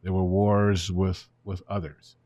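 Older man, seventies, Ukrainian first language, very low voice, interview guest, unhurried storytelling. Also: little pitch movement, a little mellow, no echo, muffled recording. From born in Germany, raised in the United States. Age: 70s. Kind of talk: conversational interview, explaining history.

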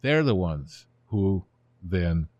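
This is a man in his seventies with a very deep voice, whose first language is Ukrainian. He tells history in a relaxed, storytelling way, and his voice often trails off softly at the ends of phrases. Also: slow pace, muffled recording. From born in Germany, raised in the United States. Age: 70s. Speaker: guest. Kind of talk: conversational interview, explaining history.

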